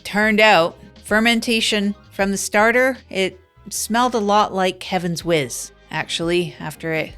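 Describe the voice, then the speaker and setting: A woman's voice: medium pitch, a little slow, smooth, soft background music, lightly acted. A woman narrating as a wry down-and-out woman. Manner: deadpan, rambling, self-deprecating asides. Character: wry down-and-out woman, self-mocking. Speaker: narrator of the show. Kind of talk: improvised comic first-person narration.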